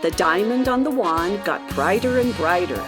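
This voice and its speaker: A woman's voice: medium pitch, expressive, normal speed. A woman narrating a scripted children's story. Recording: music playing behind.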